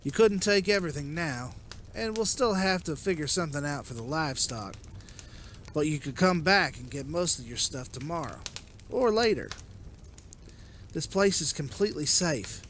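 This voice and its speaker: A man acting as an earnest survivor leader in a comic-book drama. His voice sits a little high and moves in lively swings as he makes his case persuasively. There is some noise behind him. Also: moderate pace. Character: Rick, earnest, persuasive man leading zombie-apocalypse survivors.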